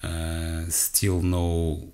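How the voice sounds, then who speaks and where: A man from Portugal with a Portuguese accent, hosting a software walkthrough in English as his second language, hesitant and full of self-corrections, talking straight to his viewers. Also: sits low, completely flat delivery, very slow. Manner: addresses viewers directly. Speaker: tech channel host. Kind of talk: screen-recorded software walkthrough.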